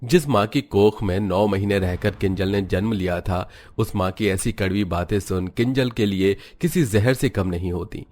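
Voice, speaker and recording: Low-voiced male story narrator. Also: a little mellow. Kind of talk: scripted cartoon story narration.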